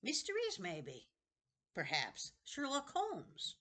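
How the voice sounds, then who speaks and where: A woman's voice: on the high side, wildly swooping pitch, slow, a bit bright, pitched above the performer's own voice, heavily acted. Woman voicing an eerie, eager, ancient male librarian. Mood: inquisitive. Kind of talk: children's novel read aloud.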